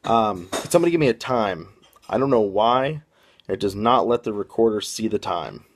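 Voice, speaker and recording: A man from the Boston area hosts a casual podcast with a fairly low, gravelly voice. He rambles as he goes and fills his pauses with plenty of "um"s. There is a rough fry at the bottom of his voice. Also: animated. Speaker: podcast host.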